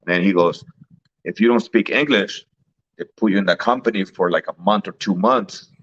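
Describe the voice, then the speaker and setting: A man's voice: low voice, moderate pace, a bit bright, breathy edge, lo-fi sound. A man who grew up in Mexico, chatting casually and telling stories, often repeating words and laughing. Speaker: guest. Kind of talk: casual chat.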